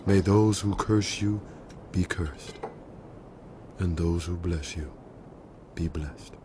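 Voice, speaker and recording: Slow, deep-voiced old patriarch. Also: male voice, background noise. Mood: solemn, tender. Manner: slow, solemn, deliberate delivery. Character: frail old blind father, solemn patriarch giving blessings. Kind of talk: Bible audio drama acting. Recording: dramatic music behind the voice.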